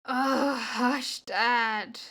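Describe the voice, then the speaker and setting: A woman's voice: a little high, steady tone, very slow, pushed and bright, full character voice, rough recording. A woman playing a teasing young adult daughter in an amateur radio play, playful and mock-dramatic in delivery. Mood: grumpy.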